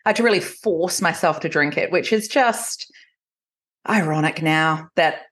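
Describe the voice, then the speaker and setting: A woman in her forties with a New Zealand accent, chatting as a podcast guest and telling personal stories in an animated, conversational way. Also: deep voice, unhurried. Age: 40s. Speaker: podcast guest, recovery coach.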